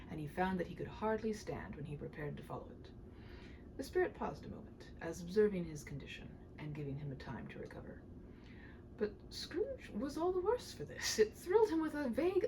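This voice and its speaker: A woman hosting a read-aloud, moving between playful chat and dramatic, animated storybook narration. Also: a little high, a little hiss or hum, low-quality recording. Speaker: channel host reading a classic aloud. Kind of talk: chatty host talk and expressive storybook narration.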